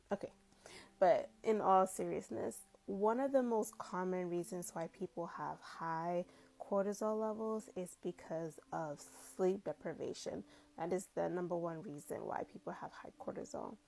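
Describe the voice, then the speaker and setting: Gravelly-voiced woman chatting through earphone mic. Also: fairly low pitch. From Cameroon. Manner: relaxed and conversational, with frequent likes and asides. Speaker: physician. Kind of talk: casual advice video answering a viewer question. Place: small indoor room. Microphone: wired earphone mic.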